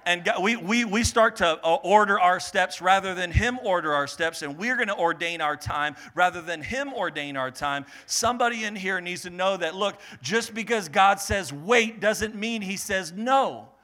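A man preaching a sermon in a lively, joking way, swelling loud, then dropping to quiet teaching. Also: high voice, moderate pace, hardly any pauses. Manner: animated, jokey preaching with sudden loud swells and quiet stretches. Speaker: pastor.

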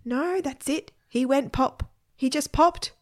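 A woman's voice: sits high, takes it slowly, darkish tone, voice pushed up. A woman voicing a matter-of-fact male character who explains absurd sad tales in a children's story. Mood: deadpan.

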